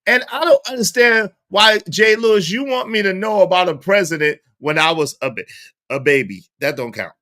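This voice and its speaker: A man's voice: high voice, expressive, moderate pace, bright, forceful tone. A man in his forties hosting a live stream, chatting casually in slang and reading viewer comments aloud. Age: 40s.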